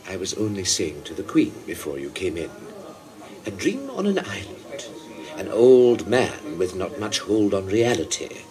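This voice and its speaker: A man's voice: low voice, big pitch swings, a little slow, a crowd in the background, rough recording. An actor playing a genial, talkative king of England in a radio play, with a theatrical, condescending manner. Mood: probing, insistent. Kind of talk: broadcast radio play acting.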